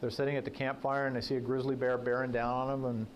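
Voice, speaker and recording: A man's voice: medium pitch, level tone, fast, a little hiss or hum, rough recording. A man who is a political journalist, giving a conversational talk to a breakfast audience in a folksy, anecdotal way with joking asides. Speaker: guest speaker, political journalist. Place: breakfast event room.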